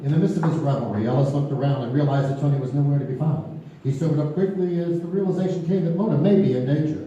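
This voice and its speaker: An actor playing a measured storyteller, narrating a live stage radio play. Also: male voice, on the high side, warm tone, muffled recording, lightly acted. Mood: storytelling. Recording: echoey theater, distant mic, faint noise.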